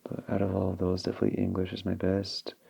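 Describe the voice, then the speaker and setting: Low, gravelly soft-spoken young man. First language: Polish. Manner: slow and relaxed, with small restarts. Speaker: ASMR creator. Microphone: handheld field recorder.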